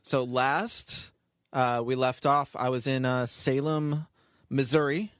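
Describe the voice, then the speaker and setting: A man's voice: mid-range voice, little pitch movement, slow pace, fairly bright tone, smooth, no echo, studio quality. A man co-hosting a comedy podcast in casual banter, playfully sarcastic and often feigning confusion or grievance. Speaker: podcast co-host.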